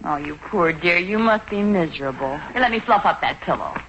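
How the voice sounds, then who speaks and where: An actress playing a doting, practical housewife, coaxing and solicitous, in 1940s radio sitcom style. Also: female voice, lowish voice, animated, fairly quick, a bit bright. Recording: dull, noisy 1940s radio recording.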